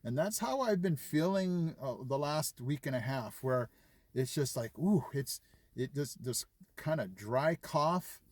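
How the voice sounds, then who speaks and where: A man talks straight to his viewers in an easy, rambling way, acting out bits of conversation and leaning on ums and you-knows. His voice sits at a middling pitch in a dry, close-sounding space. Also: animated, measured pace, no room sound. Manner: chatty, retells conversations, frequent fillers. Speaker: vlogger. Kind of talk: casual chat to camera.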